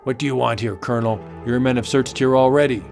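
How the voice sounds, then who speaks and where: A man's voice: mid-range voice, steady tone, fast talker, gravelly, darkish tone, faint music behind, lightly acted. A man playing a proud, menacing sorcerer chieftain with an ominous, declamatory delivery in a comic book audio drama. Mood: defiant.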